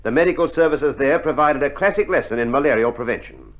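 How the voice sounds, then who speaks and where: Solemn male narrator, animated intonation. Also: medium pitch, quick pace. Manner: solemn, formal. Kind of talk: scripted war documentary narration. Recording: muffled 1960s vinyl record.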